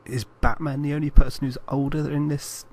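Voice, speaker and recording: A man's voice: fairly high pitch, flat delivery, brisk, creaky, darkish tone. A man co-hosting a casual film podcast, speaking hesitantly and stumbling over his words at times. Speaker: podcast co-host. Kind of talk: casual banter about films.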